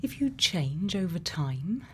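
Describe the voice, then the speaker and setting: Female ASMR performer as a caring scientist: soft-spoken to whispered, unhurried and deliberate, close-miked with some background noise. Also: fairly low pitch, sing-song. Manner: slow, hushed, deliberate, with thoughtful pauses. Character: gentle, caring female scientist tending a robot. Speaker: ASMR creator.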